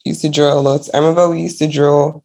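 A woman's voice: low-pitched, fast talker, gravelly. A young woman from Ghana, a digital artist and guest, chatting casually and laughing easily as she tells her story. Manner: relaxed, laughs easily, frequent likes and yeahs. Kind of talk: casual interview chat.

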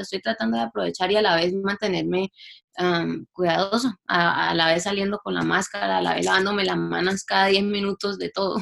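A woman's voice: mid-range voice, gravelly, fairly bright tone. A young woman from Canada, a singer-songwriter guest, speaking in a reflective, chatty way and slipping in English words. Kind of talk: casual interview talk.

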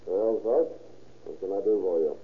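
Low, gravelly, curt moneylender. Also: male voice, normal speed. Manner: terse, curt. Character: gruff, stingy, laconic gambling-joint moneylender. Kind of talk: 1930s radio crime drama acting. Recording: tinny, muffled old radio recording.

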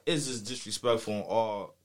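A man's voice: on the low side, moderate pace. A man with a US East Coast accent telling a story in casual chat, slangy, profane and boastful.

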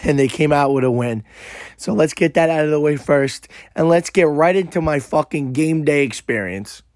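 A young man, hosting a podcast and telling a story in a brash, profane, animated way, his voice hoarse and worn out. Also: fairly high pitch, normal speed, no echo, studio quality. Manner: brash, swearing, animated retelling. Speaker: podcast host. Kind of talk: casual profane storytelling monologue.

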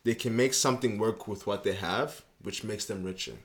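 Laid-back young man, low voice. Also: moderate pace. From Canada. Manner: loose, rambling, leans on "you know what I mean". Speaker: guest. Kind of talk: casual interview chat.

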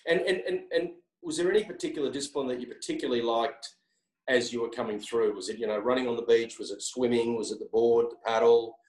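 A man's voice: mid-range voice, fast, a little mellow. A man interviewing someone, asking long questions with many repeated words and hedges. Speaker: interviewer, company executive. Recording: echoey room.

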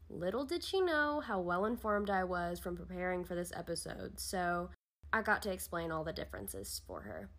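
A female podcast host with a medium-pitched voice speaks directly to her listeners. She delivers a prepared, scripted introduction.

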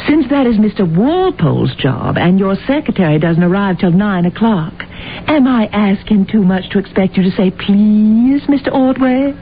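An actress playing a middle-aged housekeeper, bossy but caring, scolding and fussing in an old-time radio drama. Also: female voice, medium pitch, lively intonation, moderate pace, close to an everyday voice. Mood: tart, firm. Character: bossy, caring, plain-spoken housekeeper to a rich man. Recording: thin phone-like old radio, faint noise.

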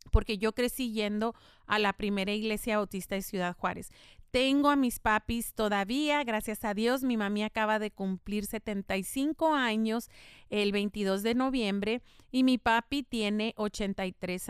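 A woman's voice: on the high side, clean tone, dry recording, studio quality. Earnest middle-aged woman. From United States. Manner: earnest, explanatory, faith-tinged, with spoken fillers. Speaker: podcast host. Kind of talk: earnest informative monologue.

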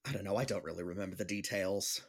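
A man's voice: deep voice, fast talker. A man playing an upbeat, chatty friend and amateur comic in an audio drama, with a playful, teasing manner. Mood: casual, puzzled.

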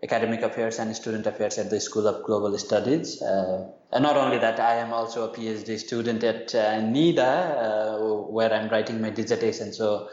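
A young man from Nepal, a university lecturer, answering interview questions with many pauses, fillers and restarts. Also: on the low side, steady tone, a little mellow. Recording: dull, roomy remote audio.

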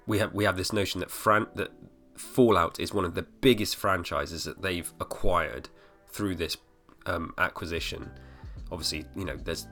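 A man in his thirties hosting a podcast alone, slightly hoarse, rambling with fillers and restarts. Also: sits low, fairly even intonation, normal speed, hardly any pauses, pushed and bright, faint music behind. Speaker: podcast host. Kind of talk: casual solo podcast talk.

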